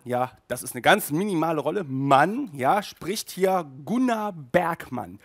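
Man with a creaky, chatty voice. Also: on the high side. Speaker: host of an audio drama review show. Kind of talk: casual video review. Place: small furnished room indoors. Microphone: headset.

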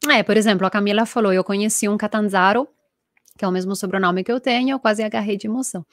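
An Italian woman in her thirties teaches a live online class through an earphone mic, animated and chatty as she reads out viewer comments. Her voice sits at an ordinary middle pitch. The sound is dry and close, with no room echo. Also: fast talker. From Italy. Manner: lively, reads viewer comments, gestures a lot. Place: small room at home with bookshelves. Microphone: earphone headset mic.

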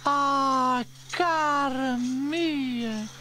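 A man voicing an excitable, warm-hearted Italian woman who runs a cafe in a children's story, with melodramatic exclamations and an Italian accent. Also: very high-pitched, slow, a little hiss or hum, pitched above the performer's own voice, exaggerated voice. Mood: affectionate. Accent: put-on Italian.

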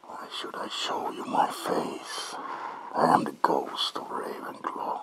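A man playing a melancholy, paranoid vampire ghost of an asylum in a breathy, theatrical ASMR whisper, close to the mic. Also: a bit bright. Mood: paranoid, wounded. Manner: slow, brooding, theatrical. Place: small dim indoor room.

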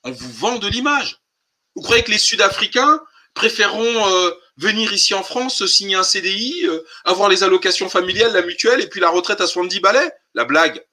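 A man's voice: sits high, lively intonation, a little fast, sharp tone. A man hosting a political live stream, talking colloquially and insistently, with emphatic rhetorical questions. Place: online group call.

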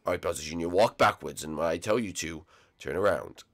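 Bowser, as a man voices him, a gravelly dragon king. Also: low voice, a little fast, a bit bright, pitched below the performer's own voice. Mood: stern. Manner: stern, patient, corrects his son. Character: gruff middle-aged male king dragon-turtle, strict father.

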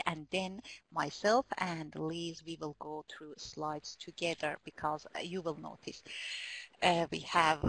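Iranian woman presenting online, accent from the turkish-speaking northwest, gravelly, low-ish, careful and halting. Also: fairly bright tone, no echo, rough recording. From northwest Iran. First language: Azerbaijani Turkish. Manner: careful, halting, many fillers. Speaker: conference presenter. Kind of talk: webinar presentation. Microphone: computer mic in a web meeting.

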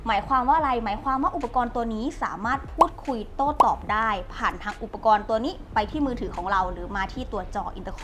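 Polite, fairly high-voiced woman reviewer. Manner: polite, explanatory, steady walk-through. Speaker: product reviewer. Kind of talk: unboxing product review. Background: faint background music.

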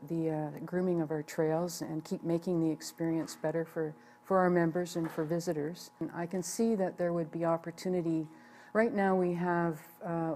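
Older woman, low mellow voice, flat delivery, measured with fillers, seated interview. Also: slight background noise. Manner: plain, measured, with frequent fillers. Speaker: ski club president. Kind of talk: on-camera interview. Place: indoor room by a wood stove.

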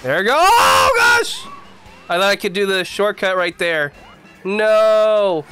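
A young man with a congested voice from the flu, giving casual, excitable commentary as he plays a racing game at home on a desk mic. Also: high voice, takes it slowly, clean tone, raised voice, soft background music. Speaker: gaming YouTuber. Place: home gaming room. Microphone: desk mic, face camera.

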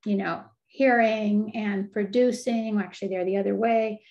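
A woman's voice: medium pitch, steady tone, normal speed, darkish tone, dull audio. An older woman, a psychologist, answering questions on a video call in a thoughtful, explanatory way with frequent fillers and restarts. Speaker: developmental psychologist guest.

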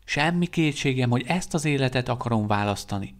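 A man's voice: medium pitch, lively intonation, voice pushed up, lightly acted. A man voicing a restless young male vampire guard who talks in an earnest, pleading way. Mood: earnest, eager.

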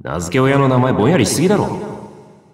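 A man voicing a gruff, wary sentient sword in an anime game, blunt and rough in casual speech. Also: mid-range voice, very animated, a little fast, a little mellow. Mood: dry, musing. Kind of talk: anime game character voice acting. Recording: echoey reverberant processing.

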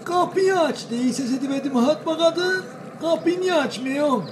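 High-pitched old Denizli village grandpa. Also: male voice, moderate pace, slight background noise. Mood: nosy, grumbling. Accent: Denizli, Aegean Turkish. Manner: folksy dialect, doting. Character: affectionate old village grandfather, folksy and playful. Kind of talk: cartoon voice acting.

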